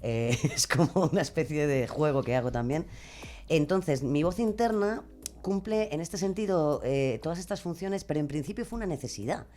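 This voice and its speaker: A woman with a Spain Spanish accent explaining her craft in a casual, expressive way as a podcast guest. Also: deep voice, moderate pace, slight background noise. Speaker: podcast guest, true crime narrator and creator.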